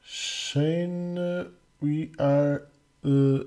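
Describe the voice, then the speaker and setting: Slow, mellow man. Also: a little high, fairly even intonation. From Portugal. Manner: halting, many fillers and restarts. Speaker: community manager. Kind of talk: casual livestream Q&A. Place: home room, indoors. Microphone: headset.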